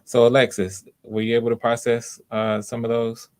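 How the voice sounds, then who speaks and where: A man hosting a live online audition in a casual, encouraging, informal way, often pausing as he improvises. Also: medium pitch, monotone, a little mellow. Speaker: audition host.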